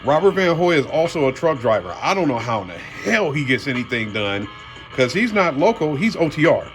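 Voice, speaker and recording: A man's voice: on the high side, sing-song, a little fast, a bit bright. Easygoing colloquial man, music behind. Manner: colloquial, addresses viewers as y'all and man. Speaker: car audio channel host. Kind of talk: casual product unboxing talk.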